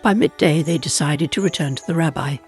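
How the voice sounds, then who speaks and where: A man's voice: a little high, fairly quick. A man reading a scripted folk tale as a dramatic storyteller. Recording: music bed behind the voice. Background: music.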